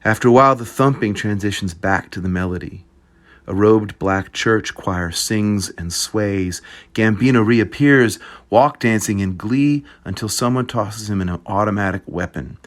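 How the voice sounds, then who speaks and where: A man reading an essay aloud at a group reading, measured and descriptive. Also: fairly low pitch.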